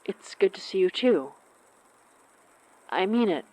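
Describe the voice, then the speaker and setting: An awkward young woman in an audio drama, apologetic and torn about a close friend. Her pitch moves around a lot, and she stammers and hesitates through her sentences in a natural, conversational way. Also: animated, slow, darkish tone, low-quality recording. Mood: shy, torn.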